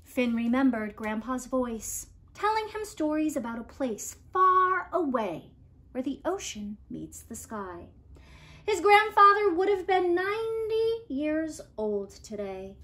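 Animated, high-voiced woman reading aloud. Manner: deliberate, expressive storytelling delivery. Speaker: read-aloud storyteller. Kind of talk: expressive picture-book read-aloud for children. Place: living room at home, indoors.

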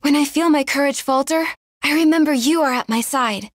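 A woman voicing an earnest, dutiful young noble swordswoman in a fantasy game, formal and gentle. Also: high voice, a little fast, dry recording, clean studio sound, natural voice.

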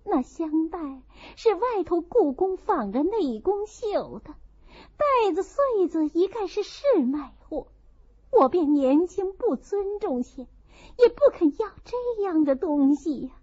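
Young storybook wife, played by a woman: very high, animated, anxious self-defence, slightly dull recording. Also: slow pace, heavily acted. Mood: pleading, anxious. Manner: earnest, tearful pleading. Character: young married noblewoman, anxious, pleading, defensive.

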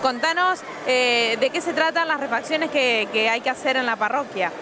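A woman's voice: sits high, normal speed. A woman reporter asking friendly, prompting questions in a field interview. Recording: crowd and noise behind.

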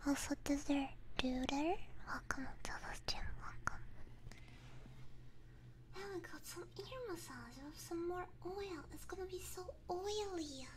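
A young woman whispering gently and soothingly into a close binaural microphone, chatting casually with viewers as an ASMR streamer. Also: very high-pitched, unhurried, background noise. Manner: soothing, gentle personal attention. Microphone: close binaural ear microphone.

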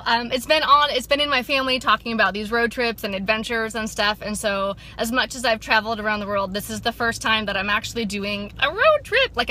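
A woman in her thirties talks to her viewers from a parked car, her voice bright and a touch high. She is chatty and encouraging, full of ums and restarts, speaking close to a phone held at arm's length. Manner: upbeat, encouraging, chatty with many fillers. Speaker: sobriety coach and vlogger. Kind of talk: casual motivational vlog. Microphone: phone camera at arm's length.

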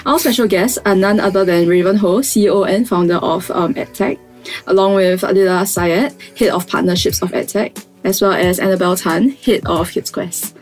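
Woman hosting, quick affirmations. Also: mid-range voice, faint music behind. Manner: frequent short backchannel affirmations. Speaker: podcast host. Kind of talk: podcast interview hosting.

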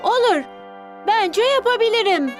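A performer voicing a cheerful, curious little boy in a children's cartoon dub, with an eager, expressive childlike delivery. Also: very high voice, unhurried, soft background music. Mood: playful.

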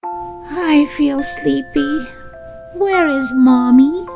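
High-pitched, very slow woman reading to children. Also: vocal fry, music in the background. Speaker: children's story reader. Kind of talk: expressive picture-book read-aloud for young children.

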